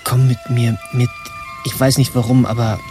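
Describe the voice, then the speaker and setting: An actor as a lonely widower in a radio drama, halting and pleading. His mid-range male voice has clear music behind it. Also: medium pitch, flat delivery, darkish tone, lightly acted. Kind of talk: radio play acting.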